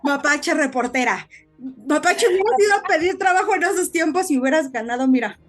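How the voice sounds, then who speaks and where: A woman's voice: sits high, animated, brisk, soft background music. A woman co-hosting a casual podcast, explaining things like a storyteller with frequent little tag questions. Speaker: podcast co-host.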